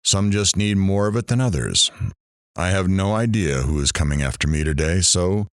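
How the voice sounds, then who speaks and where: Philosophical late-show style radio host male actor, notably deep voice, dry studio sound, sympathetic and reflective, for audio drama. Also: expressive, normal speed, no echo.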